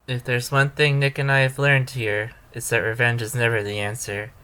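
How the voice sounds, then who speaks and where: Casting: male actor as a merciful, principled spirit, bright and very even, measured reproving delivery in audio drama. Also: medium pitch, smooth, natural voice. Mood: gently reproving.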